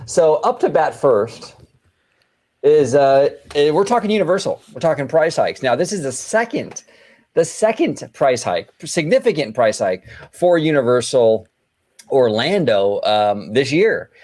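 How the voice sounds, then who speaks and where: A man's voice: medium pitch, very animated, measured pace, a little mellow. A man hosting a casual podcast, brash and chatty, swearing freely and repeating his phrases as he riffs. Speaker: podcast host. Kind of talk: casual chat.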